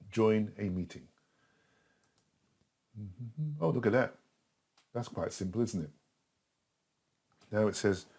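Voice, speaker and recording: A middle-aged man giving a casual step-by-step tutorial in a chatty, simple way, with a husky voice. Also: fairly low pitch, dark tone. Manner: simple, chatty, talking himself through each step. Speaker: tutor in a tutorial video, also acting as the student.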